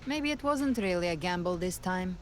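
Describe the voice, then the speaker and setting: An actress playing a resolute young hero in a fantasy game, speaking calmly, firmly and earnestly. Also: female voice, on the low side, moderate pace, noisy background, close to an everyday voice. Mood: calm, warm. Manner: firm, earnest. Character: resolute young female hero, calm and determined. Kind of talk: dramatic fantasy game acting.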